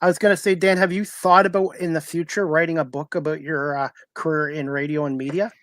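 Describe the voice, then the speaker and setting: Talk show host: man with a slightly high voice, dry recording, courteous and deferential, casual questioning. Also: flat delivery, brisk, fairly bright tone, dry recording. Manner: polite, deferential.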